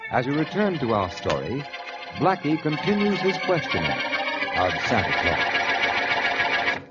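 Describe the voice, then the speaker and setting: Animated old-time radio announcer man. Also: medium pitch, measured pace. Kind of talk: 1940s radio scripted narration. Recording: dull, noisy old radio recording. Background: loud music.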